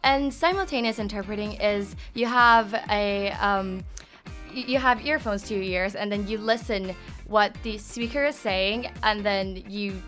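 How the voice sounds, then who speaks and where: Woman in her 20s, radio guest, American accent, clear explainer with "um"s, faint music behind. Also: medium pitch, a little slow, fairly bright tone. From Taiwan. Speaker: guest, interpreter.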